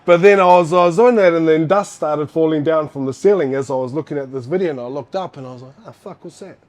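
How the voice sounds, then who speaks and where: A man's voice: on the high side, fast, darkish tone. A man in his forties with a New Zealand accent, telling his story in a casual interview, rambling with fillers and restarts. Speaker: guest, former fighter.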